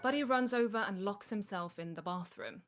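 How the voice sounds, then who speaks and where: Female narrator, mid-pitched, scripted stage directions, live script reading, faint music. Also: normal speed.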